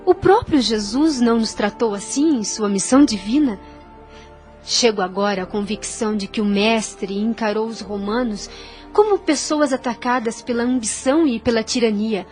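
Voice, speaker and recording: A Brazilian actress playing a gentle, devout, self-sacrificing young daughter in an audio drama, speaking in earnest, formal phrases. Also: female voice, a little high, lightly acted. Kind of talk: Brazilian radio drama acting.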